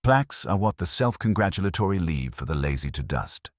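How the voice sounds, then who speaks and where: Man voicing a prim, imperious older widow, very low-pitched. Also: big pitch swings, a little fast, no echo, studio quality. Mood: dry, self-assured. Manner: precise, formal, aphoristic, self-possessed.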